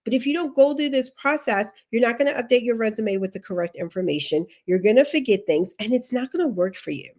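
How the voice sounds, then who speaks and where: A woman's voice: fairly high pitch, lively intonation, quick pace, few pauses, darkish tone. Sniffly woman giving emphatic career tips. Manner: emphatic, repeats key instructions, chatty asides. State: sniffly with allergies. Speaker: career coach. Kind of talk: livestream career advice.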